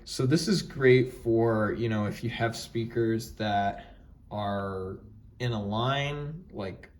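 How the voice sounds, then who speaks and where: A man's voice: on the low side, slow pace. A man giving a casual, explanatory product review in an informal way. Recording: roomy echo, distant mic.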